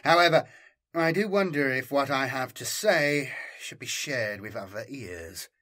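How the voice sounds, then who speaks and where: Man voicing a pompous, bright-voiced rogue trader. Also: mid-range voice, expressive. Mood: courteous, sly. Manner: pompous, formal, measured. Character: pompous male rogue trader envoy, smoothly formal and courtly. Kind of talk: audiobook character dialogue.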